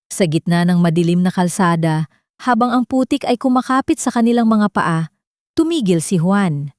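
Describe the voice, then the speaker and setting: A woman reading a scripted dramatic story in a solemn, measured, literary manner. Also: medium pitch, darkish tone, no echo, studio quality. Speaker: audio drama narrator.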